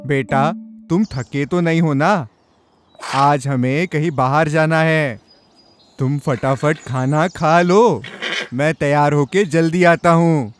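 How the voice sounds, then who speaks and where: A man's voice: on the high side, slow, faint music behind. A man voicing an affectionate old village headman in a children's cartoon. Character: fond old village headman, horse's owner.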